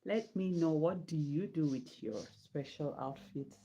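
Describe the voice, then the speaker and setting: A woman's voice: deep voice, unhurried, dark tone, breathy edge. A woman from Nigeria chatting casually with viewers during a live hair-styling stream, answering their comments. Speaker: livestreaming hair vlogger. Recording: dull lo-fi sound.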